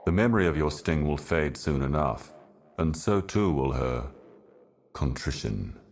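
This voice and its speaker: The Envoy, profoundly deep ancient cosmic herald. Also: male voice, lively intonation, background noise. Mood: solemn foreboding. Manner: solemn, portentous, measured oratory. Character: The Envoy, ancient cryptic otherworldly being warning of doom. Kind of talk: theatrical fantasy game monologue.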